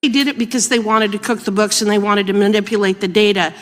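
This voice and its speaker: A woman's voice: medium pitch, steady tone, fast talker. A woman speaking formally as a legislator questioning witnesses at a hearing. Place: large indoor hearing room. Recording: reverberant hearing room.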